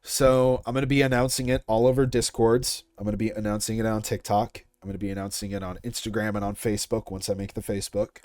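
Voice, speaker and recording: A man's voice: fairly low pitch, flat delivery, fast, few pauses, darkish tone, dry recording, clean studio sound. A young man answering interview questions about his project in a casual, chatty and informal way. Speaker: series creator being interviewed.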